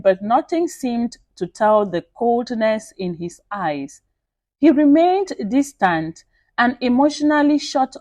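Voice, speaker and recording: A woman telling a dramatic scripted story with expressive, deliberate phrasing. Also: a little high, dry recording. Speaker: storyteller and channel host.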